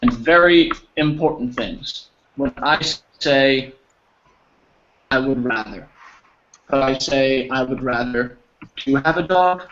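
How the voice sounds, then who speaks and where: A man teaching an English class over a video call, patient and encouraging, explaining slowly with examples. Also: medium pitch, fairly bright tone. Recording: slightly dull audio with faint noise.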